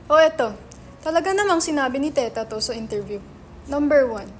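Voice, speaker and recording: A woman's voice: high voice, lively intonation, brisk, slight background noise. A woman with a Filipino accent acting a brash, sarcastic, money-minded young entrepreneur in a dramatic reading, mixing English into Tagalog. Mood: incredulous. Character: brash, money-minded male website entrepreneur, teasing his friend. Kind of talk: dramatic reading of a stage script.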